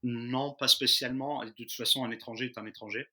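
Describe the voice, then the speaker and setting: A man's voice: medium pitch, steady tone, fairly quick, bright, forceful tone. A man in his thirties from the Lyon region of France, answering interview questions in an easygoing, casual way with frequent hesitations. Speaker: interview guest, expatriate in Japan. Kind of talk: casual conversation.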